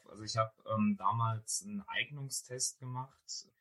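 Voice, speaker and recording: A man in his twenties from near Saarbrücken answers interview questions, pausing often and hesitating. His voice is bright and rather low. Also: fairly even intonation. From near Saarbrücken, Germany. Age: 20s. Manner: hesitant, lots of ähm fillers. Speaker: student guest. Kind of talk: casual interview talk.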